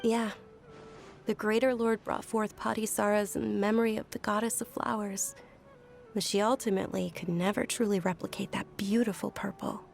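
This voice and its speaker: A woman voicing a gentle, gracious young female patron in a video game, polite and composed. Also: mid-range voice, fairly even intonation, moderate pace, vocal fry, faint music behind, close to an everyday voice. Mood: composed, kind. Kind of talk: video game character acting.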